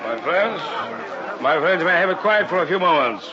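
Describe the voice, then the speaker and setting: An actor playing a self-important scientist professor making an announcement in a vintage radio drama. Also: male voice, sits high, lively intonation, moderate pace. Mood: expansive. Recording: dull old radio, music and crowd behind.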